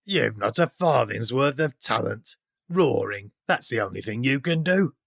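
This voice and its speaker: An actor playing a gruff, surly tavern keeper in an audio play, sneering at his guests in a gravelly voice. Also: mid-range voice, expressive, unhurried, fairly bright tone, no echo, clean studio sound, full character voice. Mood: scornful.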